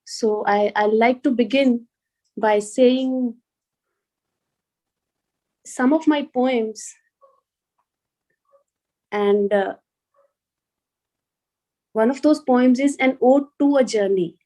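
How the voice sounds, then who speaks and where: Mellow-voiced Indian woman, fairly high pitch. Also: drawn-out words, long pauses, low-quality recording. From rural Punjab, India. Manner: hesitant, reflective, often repeating words. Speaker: guest speaker, police officer and poet. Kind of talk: informal address to an online student session.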